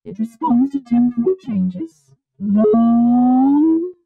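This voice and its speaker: A woman reading a scripted test sample in short deliberate statements, processed through a robotic vocoder or ring modulator effect. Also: on the high side, animated, creaky, narrow, tinny audio, soft background music.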